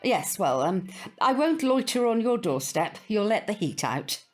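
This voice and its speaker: An actress playing a prim, proud older British neighbour in an audio drama, formal and stiffly polite. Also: female voice, medium pitch, sing-song, unhurried. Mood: stiff, wistful. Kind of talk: naturalistic audio drama acting.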